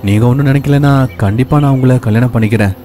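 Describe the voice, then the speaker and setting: A man playing a poor, regretful young husband who tells his tale in a measured, earnest way for an animated bedtime story. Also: medium pitch, soft background music, lightly acted.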